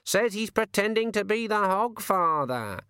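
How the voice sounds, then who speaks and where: A man's voice: sits high, big pitch swings, measured pace, sharp tone, smooth, no echo, studio quality, pitched above the performer's own voice, exaggerated voice. A man voicing a sardonic, chatty male raven in a raised voice.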